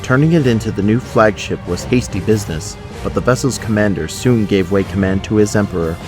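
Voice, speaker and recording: A man's voice: fairly low pitch, fairly quick, music in the background. A man narrating a scripted audio drama, setting each scene in the present tense.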